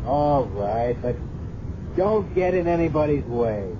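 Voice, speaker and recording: A man's voice: fairly high pitch, animated, takes it slowly. An actor playing a world-weary, skeptical senior policeman, blunt and dismissive, in a 1970s radio drama. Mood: gruffly resigned. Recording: muffled, noisy 1970s radio recording.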